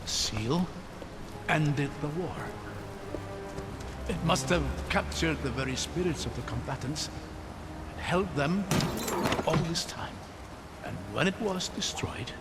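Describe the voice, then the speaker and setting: A man's voice: fairly high pitch, lively intonation, slow, pauses often, very noisy. An actor playing a middle-aged, curious and articulate scholar father in a video game, musing in a learned way. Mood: grave, explaining. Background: game soundtrack music.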